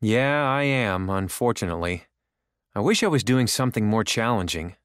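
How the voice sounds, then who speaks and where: A man's voice: on the low side, expressive, moderate pace, fairly bright tone, smooth, no room sound, clean studio sound. An actor playing an ordinary man making small talk in a language-course dialogue. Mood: discontented.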